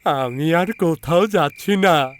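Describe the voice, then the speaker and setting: A man's voice: fairly high pitch, takes it slowly, voice pushed up. A man voicing a terrified, superstitious villager in a horror audio story, pleading and repeating himself in panic. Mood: frightened, pleading. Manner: panicky, pleading, stammering repetitions.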